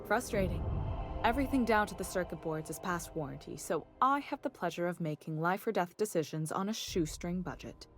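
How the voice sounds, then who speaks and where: An actress playing a weary, exacting middle-aged chief engineer of a space station in a video game, composed, dry and formal. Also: female voice, on the low side, lively intonation, a little fast, soft background music. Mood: frustrated. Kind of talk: video game dialogue acting.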